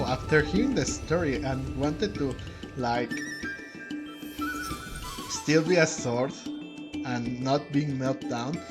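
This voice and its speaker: Higher-voiced man with slow, swinging delivery. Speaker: gaming video host. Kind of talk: casual let's play commentary.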